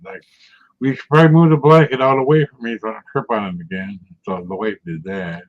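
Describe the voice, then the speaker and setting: Low-voiced man in his sixties, chatty. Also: a little fast. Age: 60s. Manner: rambling storyteller, chuckles often. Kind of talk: casual chat. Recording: muffled lo-fi audio.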